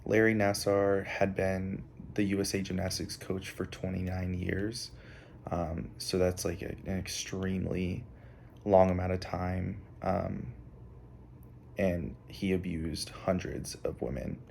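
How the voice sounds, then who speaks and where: A young man giving a prepared analysis as a student presenter, halting often with filled pauses. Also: sits low, level tone, creaky, a little mellow, slight background noise. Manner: halting, hesitant delivery with frequent filled pauses.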